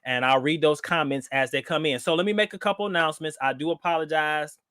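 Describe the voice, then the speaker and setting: A man hosting a live online talk show, chatting loosely with plenty of ums and restarts. He sounds a little stuffed up from a cold, and his voice sits somewhat high. The sound is close and dry, with no room echo. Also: fast, clear, resonant tone. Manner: relaxed, with frequent fillers and restarts. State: nasal from a cold. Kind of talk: casual live-stream talk.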